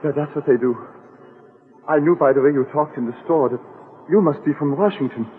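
A nervous immigrant diamond cutter, acted by a man in a vintage radio adventure. His is halting and earnest, pitched a little high. The sound is reverberant and very lo-fi. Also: close to an everyday voice. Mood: anxious, confiding. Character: anxious European immigrant diamond cutter, male, pleading. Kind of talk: 1940s radio adventure acting. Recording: reverberant, muffled old radio recording.

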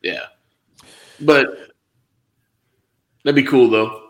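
Rambling male podcast co-host, mid pitch, recorded in a roomy echoey space. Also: drawn-out words. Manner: rambling, lots of fillers and restarts. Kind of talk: casual wrestling podcast chat.

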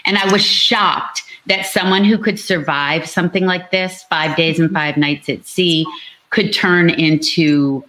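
A woman's voice: on the low side, steady tone, measured pace, bright, forceful tone, rough recording. A woman author talking casually about her novel at a book launch, chatty and explanatory.

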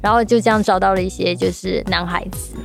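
A woman's voice: mid-range voice, steady tone, quick pace, vocal fry. A woman with a Taiwanese Mandarin accent chatting casually and telling stories in a playful, teasing way. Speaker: podcast participant talking about BL audio drama dubbing. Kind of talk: casual chat. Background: music.

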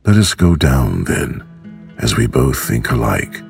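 Storybook grandfather voiced by a man, elderly, kindly, calm, bass-deep, unhurried deliberate speech. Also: creaky, faint music behind, heavily acted.

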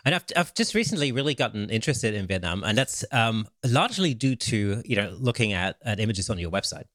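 A man's voice: on the low side, big pitch swings, brisk, fairly bright tone, dry recording, clean studio sound. A British man hosting a casual interview, asking easygoing, rambling questions full of fillers. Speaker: podcast host.

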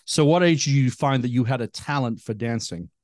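A man's voice: mid-range voice, a little fast, a little mellow, no echo. Man hosting a podcast, dry close sound. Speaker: podcast host. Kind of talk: interview questions, casual.

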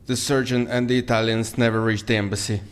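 A man acts out a scheming government agent for audio fiction. His voice is middling in pitch. He is businesslike and pressing, with anxiety under the schemes. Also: flat delivery, quick pace, smooth, lightly acted. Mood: grim. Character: scheming, anxious male Russian government agent.

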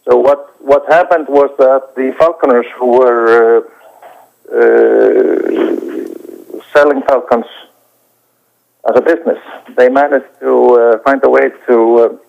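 A man from Iceland, a documentary director answering interview questions in a halting way, with many hesitations and restarts. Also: medium pitch, fairly even intonation, gravelly. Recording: dull lo-fi recording.

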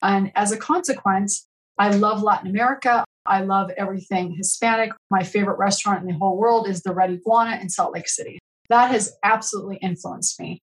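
A woman's voice: medium pitch, steady tone, brisk, low-quality recording. A middle-aged woman from California, a podcast guest speaking in an earnest, reflective way about her own life. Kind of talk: podcast interview, reflective storytelling.

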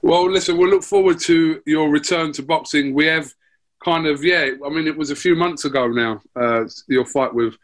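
A man's voice: on the high side, normal speed, rough recording. Easygoing male interviewer. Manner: polite, easygoing, wraps things up. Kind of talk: casual interview.

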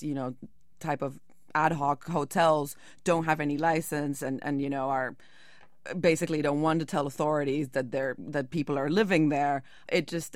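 A woman speaks as a reporter being interviewed. Her voice sits on the low side and is recorded very dry. She explains things in a searching way, with hedging asides. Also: no room sound.

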